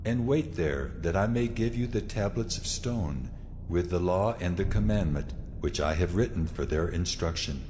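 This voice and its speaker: An actor playing God in a dramatized scripture reading, solemn, majestic and authoritative, with measured delivery. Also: male voice, low voice, lively intonation, a little hiss or hum. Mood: solemn, commanding. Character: God, a solemn, commanding, majestic male deity. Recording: long cavernous reverb over soft music.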